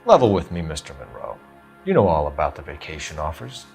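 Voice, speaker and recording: An actor playing an earnest, stern young police detective in a 1940s crime game, formal and pressing. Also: male voice, extremely low pitch, sing-song, brisk, vocal fry, a little mellow, faint music behind, exaggerated voice. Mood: accusing. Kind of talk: dramatic video game acting.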